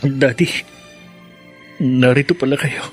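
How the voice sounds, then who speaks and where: A man's voice: medium pitch, fairly even intonation, slow pace, soft background music, natural voice. A young man playing a sorrowful, confused son, pleading with heavy feeling in a Filipino radio drama.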